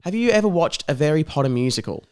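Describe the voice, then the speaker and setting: An Australian man with a high, clean and smooth voice speaks as a comedian guest on a podcast. His talk is casual, jokey and full of banter. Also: lively intonation, fast talker.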